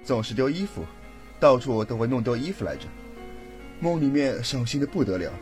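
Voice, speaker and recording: A man's voice: mid-range voice, animated, fairly quick, darkish tone, soft background music, natural voice. An actor playing a tipsy, laid-back young man adrift at sea in an audio drama, wry and casual in manner. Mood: wistful, wry.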